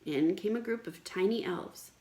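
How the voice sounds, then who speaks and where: A woman teacher reading aloud to children in an expressive, explanatory way, with questions for the listeners. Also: mid-range voice, moderate pace. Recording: echoey room.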